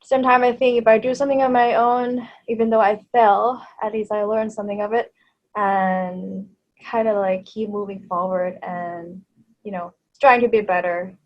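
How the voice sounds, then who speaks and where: A woman in her thirties from Thailand, a native Thai speaker, talking as an interview guest in a hesitant way, with many fillers and soft laughs. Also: medium pitch, flat delivery, normal speed, highs cut off. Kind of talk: casual interview chat.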